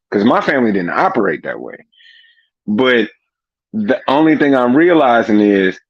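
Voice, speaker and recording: A man's voice: lowish voice, no echo. A man in his early thirties talking casually as a livestream guest, loose and slangy, with lots of "like". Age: 30s. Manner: loose slangy talk full of "like". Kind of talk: casual chat. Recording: dull lo-fi remote audio.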